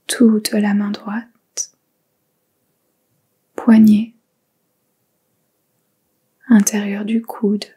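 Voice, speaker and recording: Female meditation guide, whispered, mellow and flat, slow calm delivery. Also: medium pitch, slightly rough. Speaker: yoga nidra teacher.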